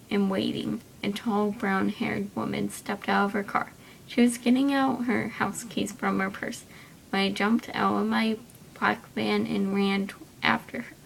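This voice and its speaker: Creaky-voiced woman reading a story aloud. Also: low-quality recording. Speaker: video creator reading own story. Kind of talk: amateur story reading to camera. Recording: rumbly background noise.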